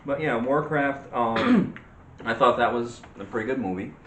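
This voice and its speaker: A man giving casual movie opinions as a co-host in a halting, rambling way. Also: mid-range voice. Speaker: co-host of a movie discussion show. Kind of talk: casual chat about movies. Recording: roomy echo with light background noise.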